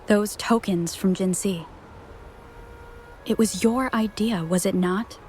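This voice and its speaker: A woman acts out a young game heroine, reserved and thoughtful. Her delivery is slow and direct at a middle pitch. A faint wash of ambience runs behind her. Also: a little mellow, a little hiss or hum, lightly acted. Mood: probing. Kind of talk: video game voice acting.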